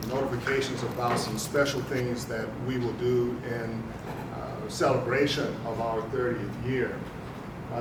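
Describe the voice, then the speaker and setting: A middle-aged man giving a measured, businesslike overview of his organization on a panel. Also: medium pitch. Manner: measured, businesslike, few fillers. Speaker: executive director on a panel. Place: large conference room. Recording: echoey hall, mic at a distance, noisy.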